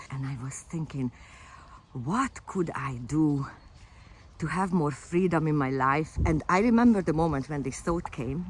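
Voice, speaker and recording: Hungarian-born fitness coach, low voice, relaxed unscripted vlog delivery with hesitations. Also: female voice, slight background noise. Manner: unscripted, hesitant with frequent fillers and restarts. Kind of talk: casual personal vlog to camera.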